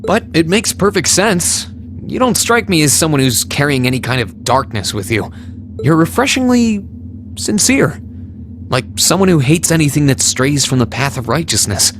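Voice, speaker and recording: A man playing an earnest, kind young hero in a video game, speaking in a gentle, thoughtful and sincere way. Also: fairly high pitch, very animated, normal speed, a little hiss or hum. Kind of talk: dramatic video game voice acting.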